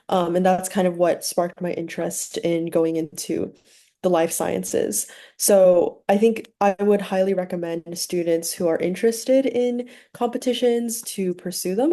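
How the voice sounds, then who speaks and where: Young woman, student panelist, relaxed, casual fillers, low voice. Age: young adult.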